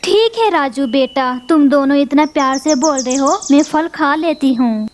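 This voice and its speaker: A woman's voice: high-pitched, a little slow, slight background noise. A woman voicing a stern but trusting female crow mother in a cartoon. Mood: firm. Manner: firm, pleading.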